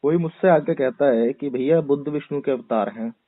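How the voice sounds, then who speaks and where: A man's voice: medium pitch, normal speed, dry recording. A man explaining and arguing casually on a phone call, posing rhetorical questions and acting out imagined exchanges. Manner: explanatory, uses hypothetical dialogue and rhetorical questions. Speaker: YouTuber answering a caller's questions. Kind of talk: casual argumentative phone discussion. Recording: muffled narrow-band phone call recording.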